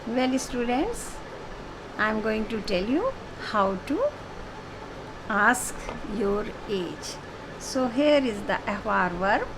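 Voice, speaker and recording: A woman teaching a French lesson online, explaining slowly with many fillers and repeated phrases. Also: fairly high pitch, sing-song, background noise. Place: home.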